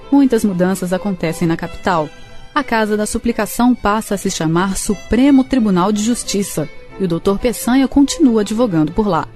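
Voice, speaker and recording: A woman narrating a scripted radio drama in a measured storytelling manner. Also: mid-range voice, darkish tone.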